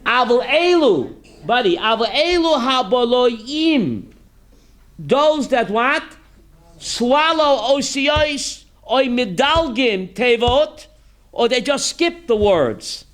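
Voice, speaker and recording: High, bright-voiced rabbi quizzing his class. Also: slow pace. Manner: reads Hebrew text then translates, quizzing listeners; animated, emphatic rhetorical questions. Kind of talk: Torah class sermon.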